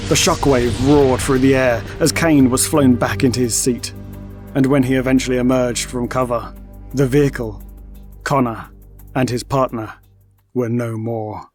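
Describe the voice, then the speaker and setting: A man's voice: mid-range voice, fairly even intonation, unhurried, a little mellow, faint music behind. A man reading scripted narration for an audio drama.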